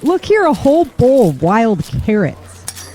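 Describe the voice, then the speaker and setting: A woman's voice: a little high, huge pitch swings, unhurried, dark tone. A woman playing a spirited wife and mother who is a survivor in an audio drama. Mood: cheerful.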